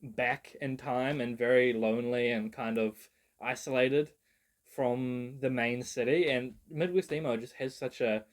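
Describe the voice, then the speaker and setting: A man's voice: mid-range voice, fairly even intonation, unhurried. Rambling young New man. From New Zealand. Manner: rambling, self-mocking, swears, many "like" fillers. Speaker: video creator. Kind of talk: casual genre breakdown.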